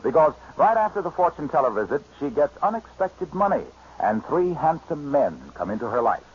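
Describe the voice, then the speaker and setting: Vintage American male announcer, scripted sponsor reads with lively melody and husky tone; dull 1950s broadcast sound. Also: a little high. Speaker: radio announcer. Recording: muffled 1950s radio recording.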